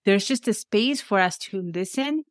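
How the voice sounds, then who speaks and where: A woman's voice: mid-range voice, expressive, a little slow, no room sound, clean studio sound. A young adult woman with a Venezuelan accent, a life coach speaking earnestly as a podcast guest. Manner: earnest, reflective, speaks of faith warmly. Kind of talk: conversational interview.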